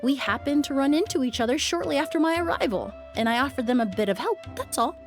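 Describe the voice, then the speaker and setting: A woman voicing a composed young perfumer in a video game, speaking in a measured, explanatory way. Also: high voice, animated. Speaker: perfumer with medical knowledge. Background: faint game music.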